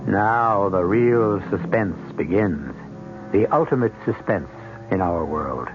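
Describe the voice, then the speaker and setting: A man's voice: low-pitched, expressive, slow pace. A man narrating a radio mystery as host in an ominous, theatrical style. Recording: muffled old radio broadcast. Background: dramatic music.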